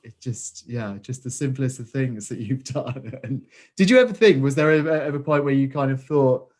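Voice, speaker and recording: A man's voice: mid-range voice, moderate pace, a little mellow. Male host, 40s, middle voice, eager and giggly, restarts questions. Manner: enthusiastic, laughs often, stumbling restarts in questions. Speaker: interviewer host. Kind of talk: casual video-call interview.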